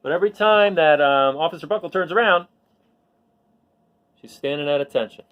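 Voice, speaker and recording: A man reading a children's picture book aloud expressively, pausing for asides and questions to his listeners. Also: fairly high pitch, smooth, lo-fi sound. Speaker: read-aloud storyteller.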